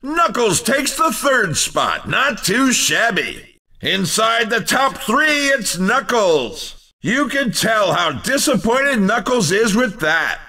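A man voicing an excitable, showy race commentator in a video game, with theatrical, emphatic exclamations. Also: high voice, slow pace, distant mic, full character voice. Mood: upbeat. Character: excitable male arcade racing game announcer, showy and quick to tease.

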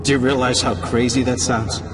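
An actor playing a middle-aged, skeptical small-town sheriff in a dramatic game cutscene. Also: male voice, mid-range voice, little pitch movement, a little fast, over music, lightly acted.

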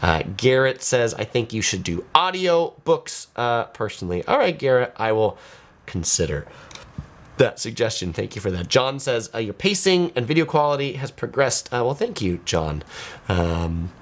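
A man's voice: mid-range voice, sing-song, moderate pace. A man in his forties chatting casually as a video host, reading out viewer comments and replying as if talking to one person. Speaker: host of a travel video channel. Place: small home studio, indoors.

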